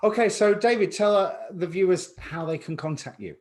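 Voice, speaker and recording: A man's voice: high voice, moderate pace, crowd noise. A man hosting an interview, asking long, winding, reflective questions in a chatty way. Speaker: host. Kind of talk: conversational interview.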